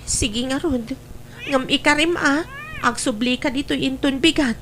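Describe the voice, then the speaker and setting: A woman's voice: high-pitched, measured pace, a bit bright, slight background noise. A woman playing a sweet, clingy young mistress in an audio drama, coaxing and sentimental as she pleads with her lover. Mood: pleading. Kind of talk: Ilocano audio drama acting.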